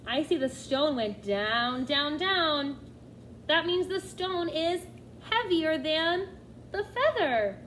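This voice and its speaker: Animated high-voiced woman reading to children. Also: slow pace, a little hiss or hum, low-quality recording. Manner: expressive, questioning, addresses young listeners directly. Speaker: teacher reading aloud to children. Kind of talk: children's nonfiction read-aloud with asides.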